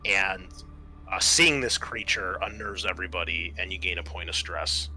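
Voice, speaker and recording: A man running a tabletop game as game master, describing scenes in a halting, improvised way with many pauses. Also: sits low, pushed and bright, slight background noise, poor audio.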